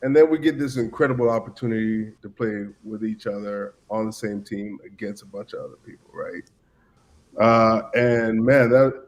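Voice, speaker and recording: A man's voice: on the low side, darkish tone. A man in his thirties from Cameroon, a podcast guest telling stories about his past in an easygoing way with lots of fillers. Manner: easygoing, many "you know" fillers and restarts. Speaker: podcast guest, former player. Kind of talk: casual storytelling chat.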